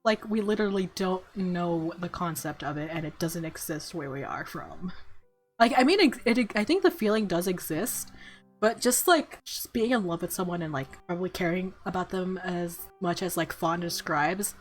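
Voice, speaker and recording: A woman streaming a game, giggly and excitable as she switches between reading narration and reacting in commentary. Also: on the low side, moderate pace, soft background music. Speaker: gaming streamer.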